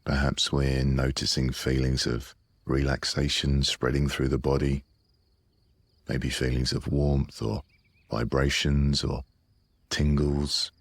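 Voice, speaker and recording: An extremely low-pitched man guides a relaxation meditation. His delivery is soothing and unhurried, with long pauses between phrases and very little rise or fall in pitch. The recording is close and dry, with no room sound. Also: completely flat delivery, no room sound.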